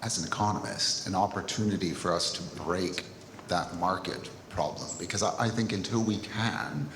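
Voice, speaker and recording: Deep-voiced man asking in an echoey hall. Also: moderate pace, a bit bright. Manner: direct, builds an argument. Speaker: audience member asking a question. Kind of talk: audience question. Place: large lecture hall. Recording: echoey hall with faint noise.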